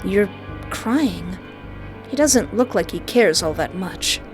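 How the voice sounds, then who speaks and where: A woman reading a visual novel aloud, with playful, animated joking asides between the narration. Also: medium pitch, takes it slowly, over music. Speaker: let's play streamer.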